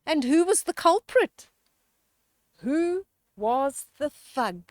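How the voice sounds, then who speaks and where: A woman reads a bedtime picture book to children in a fairly high voice. She goes very slowly, leaning on words expressively and chatting to the listener, and the sound is close and dry. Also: no room sound. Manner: expressive, drawn-out emphasis, chatty questions to the listener. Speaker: children's storyteller.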